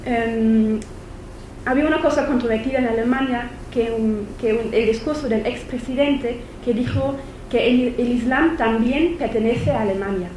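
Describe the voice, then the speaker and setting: A woman's voice: on the high side, normal speed, darkish tone. A woman speaking with a German accent, a non-native speaker giving an academic conference lecture at a university, hesitant, with many fillers and restarts. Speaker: visiting lecturer. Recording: echoey lecture hall, faint noise.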